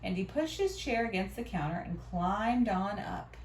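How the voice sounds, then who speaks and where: A woman reading a storybook aloud to children in an expressive, teacherly way. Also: medium pitch, moderate pace. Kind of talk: animated read-aloud with questions to children. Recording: roomy, distant mic, faint noise.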